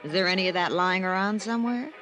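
An actress playing a sardonic, sophisticated American magazine writer in a 1950s radio mystery, with dry, arch banter. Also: female voice, medium pitch, fast talker, over music, natural voice. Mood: needling, dry.

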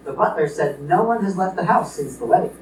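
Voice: mid-range voice, expressive, fairly quick, lightly acted. An actor playing a stern, suspicious, wealthy father who blusters and threatens in an old-fashioned comic radio play. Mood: suspicious, determined. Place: live radio play performance in a room. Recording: distant echoey live stage, noisy.

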